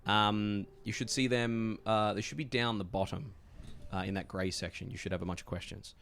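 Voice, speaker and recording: Low-pitched man as halting game master. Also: steady tone, vocal fry, fairly bright tone. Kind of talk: running a tabletop roleplaying game.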